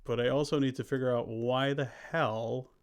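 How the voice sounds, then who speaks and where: A man's voice: mid-range voice, fairly even intonation, normal speed. A man hosting a casual game stream, chatting playfully with viewers and often interrupting himself. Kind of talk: casual live stream chat. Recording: clearly noisy background.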